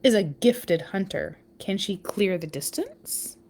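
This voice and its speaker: A woman reading a children's picture book aloud, with expressive storytelling emphasis and chatty asides. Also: medium pitch, slow, noisy background. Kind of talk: animated read-aloud storytelling with casual asides.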